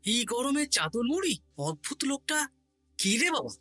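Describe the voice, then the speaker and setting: An actor voicing a witty, caring male court jester in a cartoon, playful and expressive. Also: mid-range voice, normal speed, no echo, faint music behind. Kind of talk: animated cartoon voice acting.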